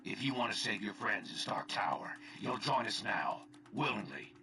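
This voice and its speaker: A man's voice: lowish voice, lively intonation, normal speed, pushed and bright, a little hiss or hum. A man playing a mind-controlled colonel in a video game, blunt, dismissive and threatening. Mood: cold menace. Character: menacing mind-controlled male colonel, cold and impatient. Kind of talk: video game cutscene acting.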